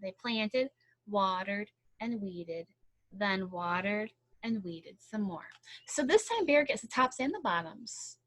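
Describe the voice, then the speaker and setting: A woman reading a picture book aloud in an expressive, chatty way, with casual explanatory commentary. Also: mid-range voice, slow pace, dry recording, poor audio. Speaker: storybook reader.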